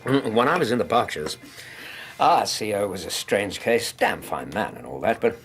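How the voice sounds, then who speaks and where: An actor playing a hearty, loyal Victorian ex-army gentleman with an English accent, bluff and old-fashioned in a British radio drama. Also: fairly low pitch, big pitch swings, normal speed. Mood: chatty, amused.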